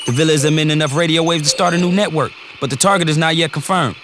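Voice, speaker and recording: A man's voice: a little high, very fast, very noisy, natural voice. An actor playing a casual, slangy male tactical adviser who trades wisecracks while giving advice in a game cutscene. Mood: wry. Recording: music bed under the voice.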